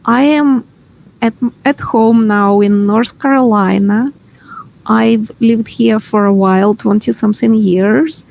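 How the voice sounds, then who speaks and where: A middle-aged woman from Ukraine with a Ukrainian accent, leading an online math workshop from home over a video call, giving gentle, playful instructions with many pauses and fillers. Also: on the high side, fairly even intonation, gravelly, poor audio.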